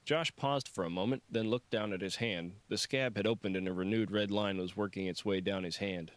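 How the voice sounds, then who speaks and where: A man's voice: on the low side, fairly quick, no echo. A man reading scripted fiction as an audiobook narrator.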